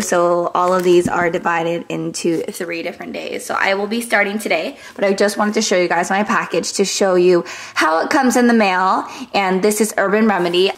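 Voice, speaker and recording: A young woman in her twenties chatting to a handheld camera at arm's length, a little raspy from just waking, upbeat with many fillers. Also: medium pitch. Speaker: vlogger. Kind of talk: casual vlog chat to camera. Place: apartment rooms, gym and car. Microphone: handheld fisheye action camera at arm's length.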